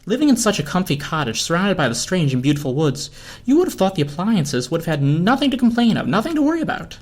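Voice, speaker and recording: A man's voice: high-pitched, big pitch swings, fast talker. A man reading a story aloud as narrator, in a dramatic storybook style. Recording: music behind the voice. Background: film score music.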